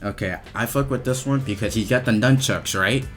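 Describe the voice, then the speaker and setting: A man giving casual, slangy, profane commentary as he rates video game voice lines, with an animated, emphatic delivery. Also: medium pitch, moderate pace, soft background music.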